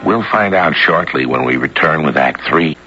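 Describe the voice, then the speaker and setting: Deep-voiced male radio drama host. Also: animated, moderate pace. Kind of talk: scripted dramatic narration. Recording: dull old radio broadcast, loud music behind. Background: loud music.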